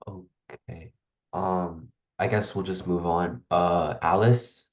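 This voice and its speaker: A man's voice: low voice, steady tone, slow, highs cut off. A man organizing an online class, calling on participants one by one in a brief, matter-of-fact way. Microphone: video call.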